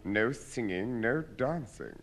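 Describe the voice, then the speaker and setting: An actor playing a brisk, dismissive English army captain in a 1950s British radio sitcom, with a clipped, officious manner. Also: male voice, mid-range voice, expressive. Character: brisk, dismissive male army captain running forces entertainment. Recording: noisy old radio recording, studio audience.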